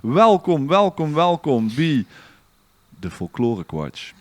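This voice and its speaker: A man's voice: a little high, sing-song, slow. A man with a Limburgish Dutch accent hosting a podcast in casual, bantering talk. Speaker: podcast host.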